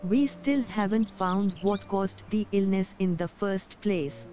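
A woman voicing a small, resolute young dwarf healer in a fantasy game, measured and earnest. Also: mid-range voice, mellow, lo-fi sound, natural voice. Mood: firm. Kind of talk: dramatic video game dubbing.

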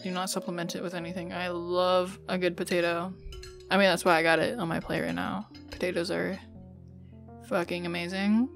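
A woman's voice: fairly low pitch, flat delivery, fairly quick, gravelly, soft background music. A woman acting out one side of a casual girlfriend roleplay as a chatty, teasing young woman, informal and a little bossy. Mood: enthusiastic, chatty. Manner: informal, teasing, giggly, swearing. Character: playful, chatty, bossy young woman on a dinner date with an old friend.

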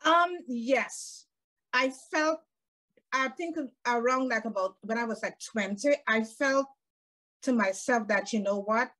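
Guest: middle-aged Guyanese woman, fairly high voice, jovial and quick to laugh, speaking over a video call. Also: sharp tone, poor audio. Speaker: podcast guest. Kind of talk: casual interview chat. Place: home room with bookshelves.

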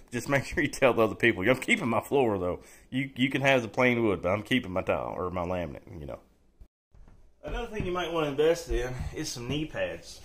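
Folksy joking man teaching floor work. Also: mid-range voice. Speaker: DIY channel host. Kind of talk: casual how-to instruction. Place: indoors, room under renovation.

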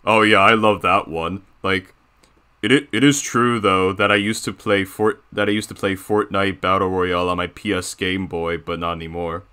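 A man's voice: deep voice, steady tone, normal speed, pushed and bright, smooth. A man voicing a boastful, bossy cartoon bear boss in rambling, self-satisfied improvised banter. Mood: casual, conspiratorial. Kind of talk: improvised character reaction banter.

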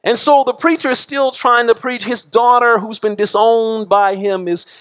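High-voiced man preaching with passion. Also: steady tone, moderate pace. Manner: impassioned preaching with repetition and wide swings in pitch and loudness; gestures broadly while preaching at a pulpit. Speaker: pastor. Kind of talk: sermon. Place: church sanctuary.

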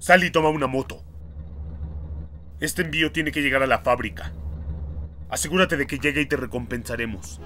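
A man's voice: medium pitch, normal speed, long pauses, sharp tone, a little hiss or hum, lightly acted. A man with a Latin American Spanish accent playing a curt, businesslike crime boss in a video game fan dub. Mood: commanding. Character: curt, commanding man running a criminal delivery.

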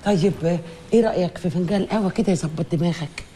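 A woman's voice: lowish voice, fast, mellow, a little hiss or hum, natural voice. Actress as a coaxing, outspoken Egyptian woman. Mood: affectionate, coaxing. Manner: coaxing, nagging, emphatic. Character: devoted, fussing, outspoken Egyptian woman, flirtatious and dramatic. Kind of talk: TV drama acting.

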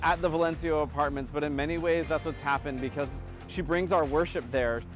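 A man's voice: fairly high pitch, steady tone, brisk, over music. A man in his thirties speaking earnestly and conversationally outdoors on a city street in an interview. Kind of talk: interview testimony.

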